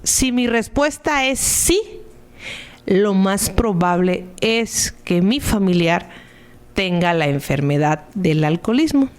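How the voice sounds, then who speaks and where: A woman's voice: mid-range voice, animated, takes it slowly, clean tone. A woman giving a conversational, explanatory radio talk as a support group member, teaching by asking and answering her own rhetorical questions.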